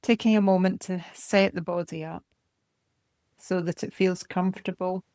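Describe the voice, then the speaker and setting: A woman guides a body scan meditation in a lowish voice with a noticeable creak. She is calm and gentle, placing short, measured phrases one at a time. The sound is close and dry, with no room around it. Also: dry recording. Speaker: meditation teacher.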